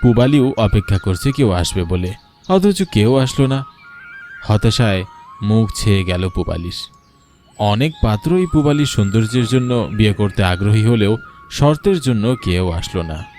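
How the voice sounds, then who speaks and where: Male narrator for animated folk story, lower pitch, scripted storytelling, clear music bed. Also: normal speed. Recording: music behind the voice.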